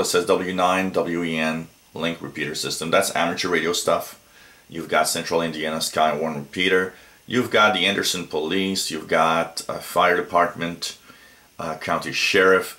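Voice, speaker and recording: A man giving a casual, unscripted app walkthrough as a reviewer, with halting, stop-start phrasing. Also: deep voice, pushed and bright. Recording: roomy, echoey home recording.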